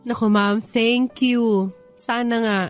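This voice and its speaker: Radio drama, humble teacher, slightly high voice, earnest, deferential, grateful, background music. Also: female voice, steady tone, slow. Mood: appreciative. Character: humble, grateful woman teacher, loyal protégée. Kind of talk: radio drama dialogue in Taglish.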